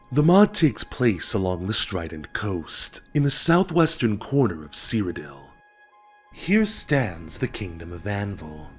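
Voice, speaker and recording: A man's voice: lowish voice, husky. Stuffy-voiced man narrating lore. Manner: enthusiastic storytelling with dramatic pauses. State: stuffy from a cold. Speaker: video essay host.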